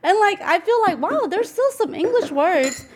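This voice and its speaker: A woman's voice: very high voice, sing-song, normal speed, slight background noise. A woman in her forties chatting casually in a kitchen as she cooks, laughing often and explaining each ingredient. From United States. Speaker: guest cooking in a recorded segment. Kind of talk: casual chat while cooking. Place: home kitchen.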